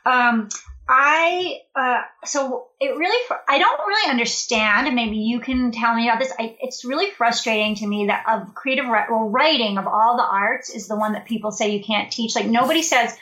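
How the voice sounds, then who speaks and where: Bright-voiced woman rambling with fillers. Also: on the high side, normal speed, echoey room, rough recording. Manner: rambling, many fillers and restarts. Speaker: writer and creative writing program co-director. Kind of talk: casual conversational interview.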